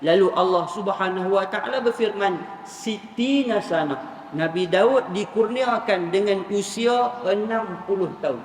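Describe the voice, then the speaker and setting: Male Islamic preacher, northern Malaysian accent, high voice, colloquial storyteller addressing listeners, recorded off-mic. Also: a little slow. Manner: colloquial storytelling, acting out dialogue, repeating phrases for emphasis. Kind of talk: sermon retelling religious stories to an audience. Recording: echoey hall, distant mic, faint noise.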